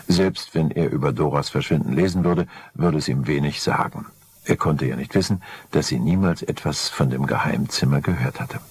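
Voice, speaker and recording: An older man narrating a crime radio play in a measured, dry way, from a 1980 record. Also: low-pitched, creaky, husky. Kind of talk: scripted crime story narration. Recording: 1980 vinyl record transfer.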